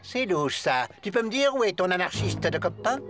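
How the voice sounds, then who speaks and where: An actor as a gruff, wildly swooping cartoon grown-up. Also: medium pitch, big pitch swings, normal speed, lightly acted. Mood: suspicious, menacing. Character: gruff, suspicious grown man bullying schoolboys. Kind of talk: French cartoon dubbing. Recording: loud music behind the voice.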